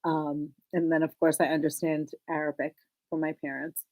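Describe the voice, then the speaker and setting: A woman's voice: deep voice, flat delivery, moderate pace, a little mellow, low-quality recording. A woman whose first language is Hebrew, co-hosting a casual knitting podcast and chatting with a laugh. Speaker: podcast co-host. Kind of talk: casual chat.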